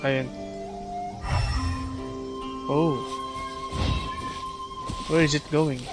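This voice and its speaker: A man's voice: a little high, fairly even intonation, drawn-out words. Filipino man streaming a game, music behind. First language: Tagalog. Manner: reads game dialogue aloud, mixes two languages. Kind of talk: casual livestream chat and game commentary.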